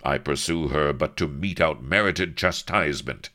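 A man's voice: rumbling low, normal speed, pushed and bright. A man voicing a pompous, naive young sea captain who speaks in mock-archaic phrasing.

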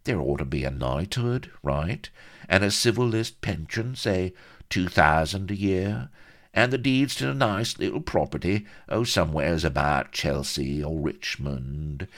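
A man voicing an oily, smirking fixer in an audiobook, smooth, slangy and ingratiating. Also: low voice, animated, unhurried, a bit bright, pitched above the performer's own voice, full character voice. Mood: contented, smug. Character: oily, smirking, wheedling male fixer and blackmailer.

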